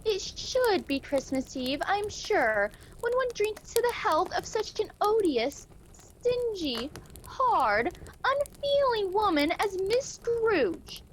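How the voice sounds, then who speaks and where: A woman's voice: very high voice, big pitch swings, takes it slowly, heavily acted. A woman playing a poor, protective wife and mother with emphatic, scornful delivery in a radio play. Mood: bitter. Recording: faintly noisy home recording.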